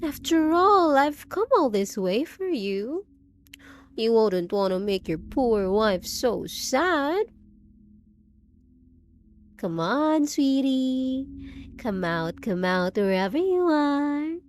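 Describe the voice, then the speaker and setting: A woman acts out an unhinged undead bride in an audio drama, with a fairly high voice and a slow, savoring delivery. She coos pet names with sweet, possessive menace over light background ambience. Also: sing-song, a little hiss or hum, exaggerated voice. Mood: longing, menacing. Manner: drawn-out, cooing endearments with giggles and teasing questions. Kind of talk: scripted roleplay audio drama.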